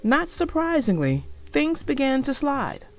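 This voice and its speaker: Slow, expressive woman reading a storybook. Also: sits high, faint music behind. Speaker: storybook narrator. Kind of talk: children's read-aloud narration.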